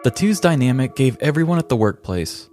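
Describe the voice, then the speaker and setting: A man hosting a podcast, telling a comic story in a wry, deadpan scripted narration. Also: mid-range voice, brisk, music in the background.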